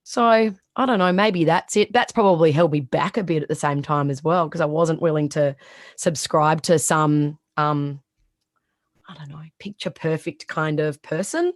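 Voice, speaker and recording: A woman in her thirties with a raspy voice and a strong Australian accent, talking bluntly as a guest in a casual interview. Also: deep voice, moderate pace, no room sound, clean studio sound. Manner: blunt, animated, swears freely. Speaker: sports reporter, guest.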